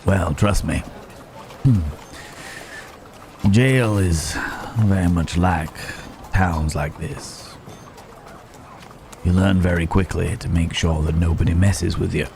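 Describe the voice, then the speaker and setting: Man acting a deep, slow-drawling cowboy drifter. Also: creaky, darkish tone, a little hiss or hum, heavily acted. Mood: wry, reassuring. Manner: unhurried, pausing drawl, teasing and reassuring. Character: rugged, protective cowboy drifter with a rough reputation. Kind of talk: intimate ASMR roleplay, Wild West character acting.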